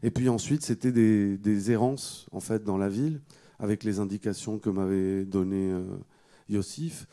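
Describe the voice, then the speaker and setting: A middle-aged man with a low, very dark and soft-edged voice speaks unscripted as a panel guest. He feels his way through the story, hesitating and doubling back on words. He holds a microphone close. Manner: halting, hesitant delivery with repeated words. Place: indoor auditorium stage. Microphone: handheld microphone held close.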